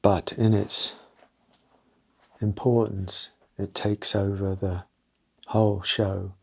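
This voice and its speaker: A man leading a guided meditation, talking gently and unscripted in short, halting phrases with pauses between them. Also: on the low side, flat delivery, gravelly, slightly rough.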